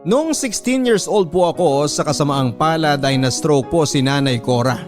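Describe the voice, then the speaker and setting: A man's voice: a little high, expressive, normal speed. A Filipino man reading a heartfelt first-person story as a radio drama narrator. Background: soft music.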